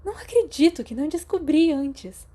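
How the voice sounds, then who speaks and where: A woman with a Brazilian Portuguese accent voicing a sharp, bossy teenage schoolgirl in an audiobook, emphatic and stern. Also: high-pitched, animated, moderate pace, pitched above the performer's own voice. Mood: astonished.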